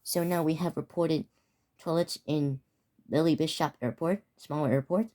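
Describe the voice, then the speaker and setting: A man's voice: a little high, fairly even intonation, normal speed, a little mellow. A teenage boy improvising a mock emergency broadcast, often stumbling and restarting his words. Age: teens. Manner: stumbling, restarting words while reading out an alert.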